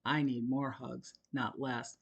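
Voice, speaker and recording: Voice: mid-range voice, level tone, slow, vocal fry, husky, exaggerated voice. A jokey, doting father who teases his kids with mock threats, voiced by a story reader. He talks in a sing-song way. Read as a storybook character.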